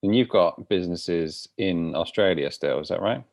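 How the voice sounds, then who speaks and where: A male podcast host with a very deep, low voice. He keeps to short, polite questions and lets his guest do most of the talking. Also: moderate pace, gravelly, a little mellow. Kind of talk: podcast interview.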